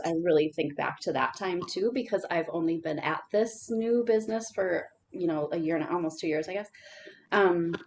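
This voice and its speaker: A woman on a video call recounts how she started her business, rambling and full of fillers. Faint background noise sits under her voice. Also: on the low side. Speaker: business owner. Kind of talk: panel self-introduction.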